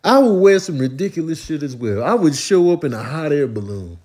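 A man with a husky voice giving slangy, exclamatory comedic commentary over clips in mock outrage. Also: a little high, sing-song, a little fast, a little mellow.

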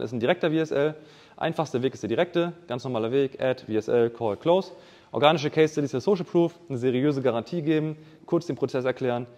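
A man giving a live keynote on marketing funnels, delivering quick, punchy points full of business jargon. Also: medium pitch, a little mellow. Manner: fast, punchy business jargon mixed with English terms. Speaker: keynote speaker. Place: event hall with a live audience. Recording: long hall reverb.

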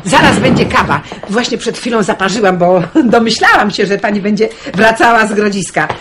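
An actress playing a hospitable, teasing village schoolteacher with a playful, welcoming manner in a radio drama. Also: female voice, medium pitch, brisk, a bit bright, a little hiss or hum, natural voice.

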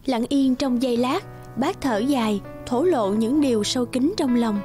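A woman's voice: fairly high pitch, moderate pace, warm tone, soft background music. A woman narrating a scripted fairy tale for children.

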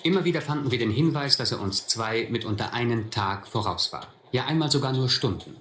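A man's voice: medium pitch, expressive, fairly quick, echoey room, very noisy, lightly acted. An actor playing a reflective narrator who recalls his vagabond youth in a German radio drama. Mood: reflective, grave. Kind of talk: scripted first-person narration in a radio drama.

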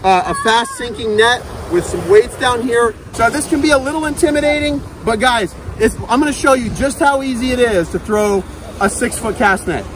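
A man's voice: extremely high pitch, moderate pace, crowd noise. A man in his thirties hosting a fishing channel, upbeat, demonstrating a cast net outdoors on a sandy beach and calling the viewers "guys". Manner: upbeat, repeats "guys" and "okay", talks while handling the net. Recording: outdoor beach noise behind the voice.

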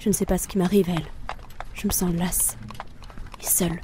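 A woman's voice: on the low side, level tone, brisk, mellow, a little hiss or hum, close to an everyday voice. An actress playing a weary, brooding female reaper of souls in an introspective audio drama narration. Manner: solemn, introspective.

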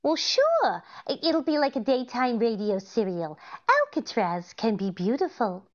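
Woman playing a high, lilting, scatterbrained radio-comedy wife. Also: huge pitch swings, a little slow. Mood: earnest, hopeful. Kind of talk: 1940s radio comedy acting. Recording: slightly dull video-call audio.

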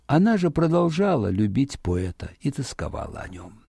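A man narrating a fairy tale for children in an expressive, dramatic storytelling manner. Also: medium pitch, normal speed, vocal fry, husky, no room sound, studio quality.

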